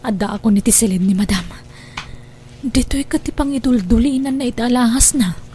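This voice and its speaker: A woman's voice: a little high, brisk, dark tone, faint music behind, natural voice. A woman playing a sly, greedy young housemaid who steals, in a radio drama. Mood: furtive.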